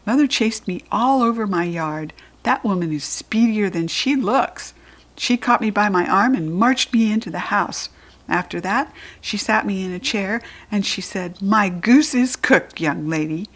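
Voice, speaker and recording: Expressive American woman reading a children's book. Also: medium pitch, unhurried. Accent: General American.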